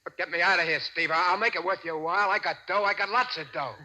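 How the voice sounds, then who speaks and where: Frantic crook: highish, very sharp, pleading delivery, vintage radio melodrama. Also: male voice, fairly even intonation, quick pace. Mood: desperate bargaining. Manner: frantic, stammering, begging. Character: panicked, desperate male crook, armed and pleading. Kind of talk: 1940s radio drama acting.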